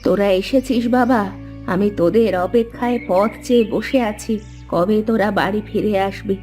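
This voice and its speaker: A woman's voice: medium pitch, a little slow, a little mellow, faint music behind, lightly acted. A woman playing an anxious, plaintive old village mother in a fairy-tale cartoon. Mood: plaintive, longing.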